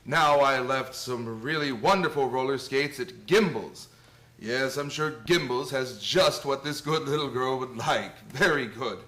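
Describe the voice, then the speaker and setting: An actor playing a jolly, kindly old department store Santa in a radio play, hearty and reassuring with soft chuckles. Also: male voice, medium pitch, measured pace. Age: older.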